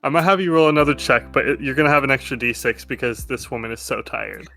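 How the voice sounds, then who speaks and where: A man's voice: mid-range voice, quick pace, gravelly, sharp tone, faint music behind. A man acting as game master, narrating a tabletop game in a casual style.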